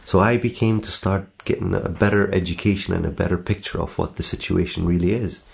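A middle-aged man with an Arabic accent, telling his own story in a measured, earnest way with pauses. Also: low-pitched, steady tone, creaky. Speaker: documentary interviewee. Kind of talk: reflective personal storytelling.

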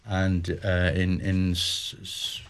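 An older man with a Limerick Irish accent, a radio guest reminiscing about old hurling matches in a halting way with many restarts. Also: sits low, monotone, clear, resonant tone. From Limerick, Ireland. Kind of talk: casual radio chat about hurling history.

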